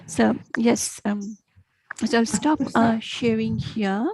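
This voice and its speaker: A woman from Bangladesh giving the earnest, encouraging closing remarks of an online guest lecture over a video call, often pausing and restarting her sentences. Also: a little high, little pitch movement, darkish tone, slightly rough, dry recording.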